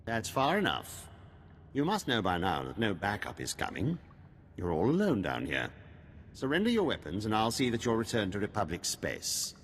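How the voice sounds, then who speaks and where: Theatrical low-voiced villain, wildly inflected. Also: male voice, huge pitch swings, moderate pace. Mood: cold, scornful. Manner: theatrical, condescending, formal. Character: smooth, condescending male Imperial villain, coldly confident. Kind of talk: video game cutscene acting. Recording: reverberant game audio, faint noise.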